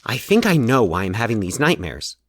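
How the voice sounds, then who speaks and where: A man acting a troubled, anxious man in a fiction podcast, confiding his nightmares haltingly and with dramatic pauses. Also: fairly low pitch, wildly swooping pitch, slightly rough, full character voice. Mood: uneasy. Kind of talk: dramatic audio fiction monologue.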